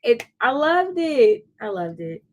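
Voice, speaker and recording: Enthousiaste vrouw in een losse boekenbabbel. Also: female voice, a little high, very animated, slow pace, highs cut off, a crowd in the background. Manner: effusive, repeats phrases for emphasis. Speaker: book discussion co-host. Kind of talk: casual book chat.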